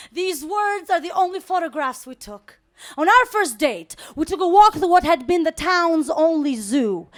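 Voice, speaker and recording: Very high-pitched woman performing slam poetry. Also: a bit bright. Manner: dramatic, emphatic, measured declamation. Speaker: slam poet. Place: live poetry event.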